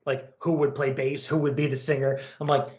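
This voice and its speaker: A man's voice: medium pitch, level tone, a little fast, a little mellow. An American man hosting a podcast in a casual, chatty style. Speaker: podcast host. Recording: echoey, roomy sound.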